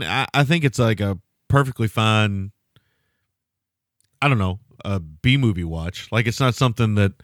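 A man co-hosting a film podcast, talking in a loose, rambling way with lots of fillers and restarts, and a loud voice. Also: fairly low pitch, dry recording, studio quality. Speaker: podcast co-host. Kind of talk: casual film-review chat.

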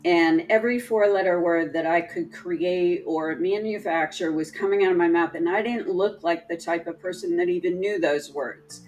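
A woman telling a personal story in casual chat, halting and restarting often, with a trained, projecting voice. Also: lowish voice, a little mellow, faint music behind, rough recording. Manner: slow, halting, many restarts.

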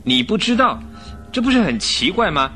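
A man's voice: high voice, sing-song, moderate pace, bright, forceful tone, faint music behind, exaggerated voice. An actor playing a cocky, insistent police inspector in a radio drama, emphatic and pressing as he questions. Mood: pressing, suspicious. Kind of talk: Chinese radio drama acting.

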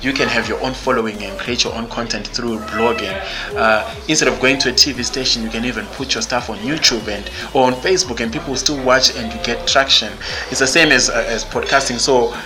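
A man from Zimbabwe with a Zimbabwean English accent, a podcast guest speaking candidly and bluntly, with many fillers and restarts. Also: medium pitch. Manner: blunt, outspoken. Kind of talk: candid interview conversation.

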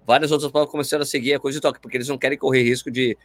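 A Brazilian man with a Brazilian Portuguese accent, hosting a casual chat, animated and opinionated, ranting in slang. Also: medium pitch, very fast, no room sound. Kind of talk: casual chat about running.

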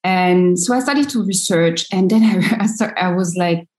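Ivorian-born woman chatting in an interview, thoughtful, lots of restarts, lowish voice, echoey room. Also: normal speed, a little mellow. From Côte d'Ivoire. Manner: thoughtful, many restarts, frequent laughs. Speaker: guest, shea butter entrepreneur. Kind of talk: casual interview conversation. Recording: roomy echo.

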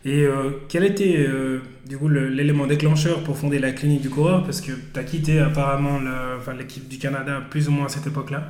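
A man's voice: medium pitch, steady tone, a little fast, hardly any pauses, darkish tone. A man hosting a casual interview and asking questions. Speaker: podcast host, interviewer. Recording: echoey room.

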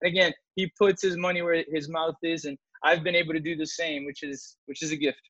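A man from Brazil with a Brazilian accent, Portuguese his first language, telling a story as a church musician guest in an animated way with laughs. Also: a little high, a little fast, dry recording, poor audio. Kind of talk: storytelling interview answer.

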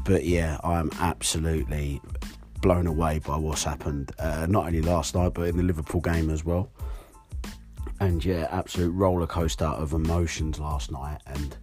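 A hoarse young man hosting his podcast alone, talking candidly and rambling with fillers. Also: extremely low pitch, fairly even intonation, moderate pace, a little mellow, faint music behind. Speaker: podcast host. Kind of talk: solo podcast monologue.

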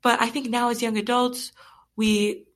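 A woman's voice: a little high, flat delivery, measured pace. A young adult woman as a podcast guest, talking casually with many fillers and frequent laughs. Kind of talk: casual interview conversation.

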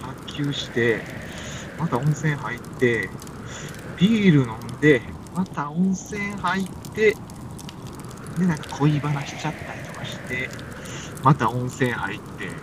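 A man's voice: on the high side, animated, slow. A man with a Kansai accent playing an anxious, weary stranded climber in an amateur comic radio drama, earnest and exclamatory. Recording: noisy amateur recording.

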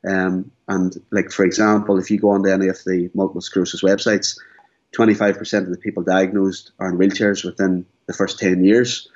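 Brief: earnest forties man, deep voice, muffled lo-fi recording. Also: flat delivery, fairly quick, darkish tone. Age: 40s. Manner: earnest, repeats words as he speaks. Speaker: guest. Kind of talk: candid interview. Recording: dull lo-fi sound.

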